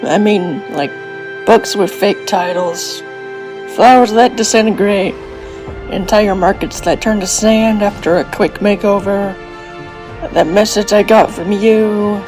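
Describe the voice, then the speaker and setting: A woman's voice: mid-range voice, measured pace, music in the background, natural voice, low-quality recording. A woman voicing a cool, protective pony sister in a cartoon fan dub, casual and matter-of-fact, with a wry edge.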